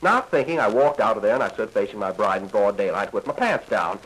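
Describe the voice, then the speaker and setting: Male American comic monologist, 1940s party record. Bright, forceful delivery, lively pitch, folksy and crude. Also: quick pace, slightly rough, rough recording. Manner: folksy, crude, conversational storytelling. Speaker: comedian telling bawdy stories. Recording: old 1940s 78 rpm record.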